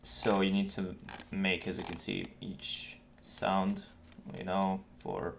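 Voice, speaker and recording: A man narrating a casual software tutorial, explaining in a halting, hesitant way with many pauses. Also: low-pitched, level tone, vocal fry. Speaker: tutorial narrator for game mods. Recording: noisy desk mic, keyboard clicks. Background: keyboard typing and mouse clicks.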